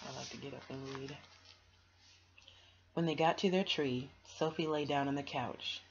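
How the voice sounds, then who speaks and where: Low-voiced woman reading a children's storybook. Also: gravelly. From United States. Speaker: storytime host reading aloud. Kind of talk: children's storybook narration with casual asides. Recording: dull, noisy home recording.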